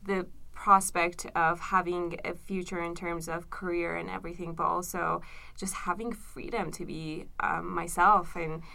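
A woman's voice: lowish voice, little pitch movement, measured pace, gravelly, bright, forceful tone. A young woman from Iran, a graduate student, answering interview questions thoughtfully with many fillers. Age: young adult.

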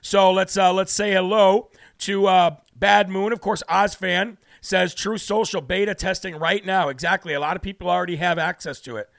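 A man's voice: high-pitched, steady tone, normal speed, gravelly, few pauses, a bit bright, no echo. A man from New Hampshire hosting a live political talk show, brash and preachy, losing his voice as he reads out viewer comments.

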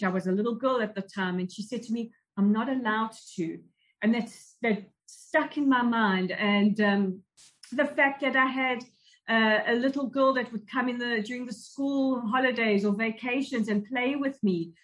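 Interview guest: South African woman, fairly high voice, thoughtful storyteller. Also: rough recording. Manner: measured, reflective, with frequent restarts. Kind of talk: personal recollection in an interview.

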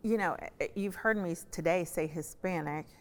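A woman from the US South speaking as a panel guest in a conversational, measured way, pausing to choose her words. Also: on the low side. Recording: long hall-like reverb.

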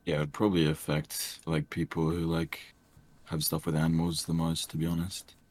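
A young man with a soft, soothing voice, chatting hesitantly as a podcast guest with many fillers. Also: very deep voice, monotone, normal speed. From Australia. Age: young adult. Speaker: streamer guest. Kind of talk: casual podcast chat.

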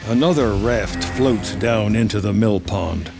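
Male narrator, American, slightly deep and unhurried, reading scene action from a script over soft music. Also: creaky. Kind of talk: scripted screenplay narration, present tense.

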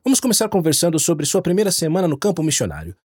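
Lively husky male podcast host. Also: on the high side, very fast, no echo, studio quality. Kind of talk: scripted podcast introduction.

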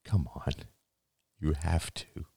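A man voicing a grieving elderly father in an audiobook, gentle and halting, close to tears. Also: a bass voice, steady tone, creaky, slightly rough, no room sound, exaggerated voice. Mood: tearful, pleading. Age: older. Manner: halting, sighing, breaking into sad laughter. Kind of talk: dramatic audiobook dialogue.

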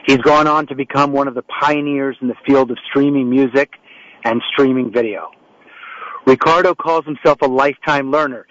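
A man's voice: mid-range voice, steady tone, moderate pace, a bit bright, no room sound, dull audio. A man hosting an interview show over a remote setup, rambling a little with frequent restarts and fillers as he introduces guests and asks questions. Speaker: talk show host. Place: home setup in New York. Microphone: remote call setup.

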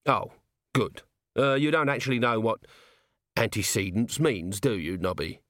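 A man's voice: medium pitch, animated, unhurried, a bit bright, no room sound. A man voicing a tired, stern male watch commander who talks in a dry, clipped way. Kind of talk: audiobook character voice.

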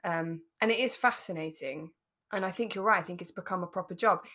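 A woman's voice: on the low side, fairly quick, sharp tone. A British woman co-hosting a podcast in a casual, chatty style, speaking informally and with emphasis. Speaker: podcast co-host.

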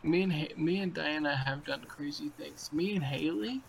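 A young man in his twenties talks crudely and loosely over a video call, piling on fillers and swearing. His voice sits high for a man and carries a gravelly fry. A faint hiss lies under the call. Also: moderate pace, rough recording. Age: 20s. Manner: rambling, profane, filler-heavy. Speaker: podcast co-host. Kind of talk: crude casual chat.

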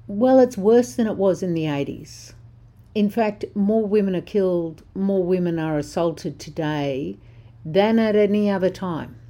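A woman's voice: mid-range voice, unhurried, warm tone. An older woman, host of a social justice talk, speaking bluntly and passionately while she is losing her voice. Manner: impassioned, blunt, conversational. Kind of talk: spoken commentary on social justice.

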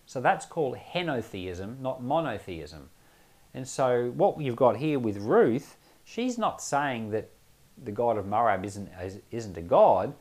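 A man's voice: mid-range voice, very animated, slow, darkish tone. A man speaking as a pastor, giving informal Bible teaching in a conversational, explanatory way with emphatic repetition. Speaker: pastor and Bible podcast host.

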